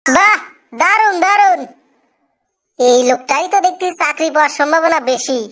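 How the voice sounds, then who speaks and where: A woman's voice: extremely high pitch, animated, normal speed, fairly bright tone. A woman voicing a weary, grumbling cartoon job interviewer in colloquial Bangladeshi dialect, given to easy praise. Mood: pleased, approving. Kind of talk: comic cartoon dialogue.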